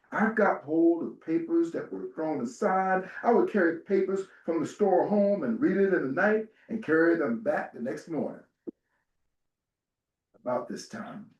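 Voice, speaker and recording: A man with a mid-range voice reads a historical first-person account aloud at a live event. His delivery is measured, like storytelling. He is heard at a distance in an echoing room. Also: mid-range voice, darkish tone. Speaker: dramatic reader at a literary event. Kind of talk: dramatic reading of a first-person historical narrative. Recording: echoey room, far from the mic.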